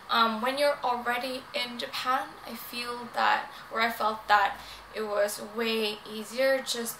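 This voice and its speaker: Young non-native English-speaking woman, earnest chatty vlogger, even tone. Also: on the high side, gravelly. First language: not English. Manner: chatty, many ums, earnest. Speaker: vlogger giving advice. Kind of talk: casual advice vlog. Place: room in Japan. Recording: echoey room, faint noise.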